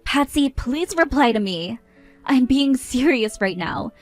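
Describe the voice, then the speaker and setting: A woman's voice: high voice, expressive, a little slow, soft background music. A woman playing a fed-up, scolding young bride. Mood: indignant. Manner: indignant, scolding.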